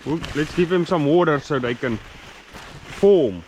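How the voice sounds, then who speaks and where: Man narrating farm chores. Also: on the high side. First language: Afrikaans. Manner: relaxed, halting with many fillers, explaining as he works. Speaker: farmer. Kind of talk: casual farm vlog walkthrough. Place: outdoors on a farm by irrigation pumps. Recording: dull lo-fi sound with loud outdoor noise.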